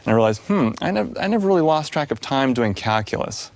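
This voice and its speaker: A male cinematographer in an interview, telling an anecdote in a lively way. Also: mid-range voice, fast, dry recording.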